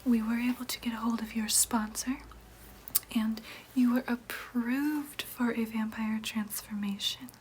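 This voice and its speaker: A gentle vampire nurse played by a woman whispers softly and breathily in an ASMR roleplay. The voice is on the high side, careful and reassuring as it explains things to a patient. Clear background noise sits behind the whisper. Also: fairly even intonation, lightly acted. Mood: reassuring, welcoming. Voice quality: soft, breathy unvoiced whisper. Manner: soothing, explanatory, slow and careful.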